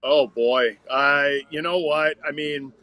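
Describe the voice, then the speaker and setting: A man's voice: fairly high pitch, fairly even intonation, slow pace, pushed and bright, no room sound, rough recording. An American man, a radio hockey announcer, talking and telling stories conversationally as a podcast guest. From Minnesota, United States.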